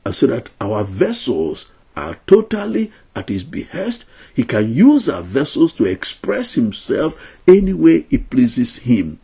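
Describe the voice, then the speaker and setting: A man's voice: medium pitch, huge pitch swings, measured pace, few pauses, dark tone, breathy edge. An older man teaching a Bible lesson to camera in a small room at home, earnest and emphatic, counting out his numbered points.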